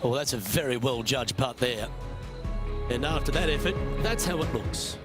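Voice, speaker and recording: A man's voice: mid-range voice, animated, moderate pace, over loud music, close to an everyday voice. A man playing a composed, informative television golf commentator for a video game.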